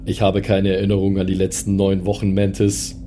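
A man's voice: low voice, monotone, a little fast, dark tone, faint music behind, close to an everyday voice. A man voicing a stern, matter-of-fact killer robot with a commanding, formal manner.

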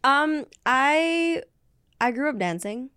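A woman's voice: high voice, expressive, takes it slowly, sharp tone, dry recording. A young woman from the Philippines, a singer answering interview questions casually and hesitantly, with many fillers as she searches for words. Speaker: guest singer.

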